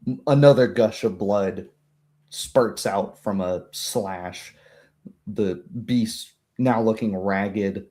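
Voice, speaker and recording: A man's voice: on the low side, slow pace, mellow. A man narrating a tabletop roleplay as game master, with dramatic, descriptive storytelling.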